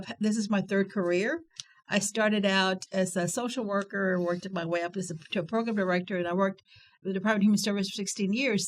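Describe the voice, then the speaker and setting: An older woman from Texas, a guest on an interview, reflecting on her working life in a rambling, self-correcting way. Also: mid-range voice. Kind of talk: casual interview chat.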